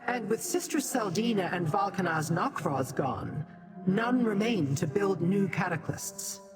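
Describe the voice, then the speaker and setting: Woman as haughty demon schemer, lively intonation. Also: mid-range voice, natural voice. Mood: aloof, measured. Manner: haughty, formal, deliberate. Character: proud, aloof demon schemer, secretive and self-serving. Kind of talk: video game character acting. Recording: music behind.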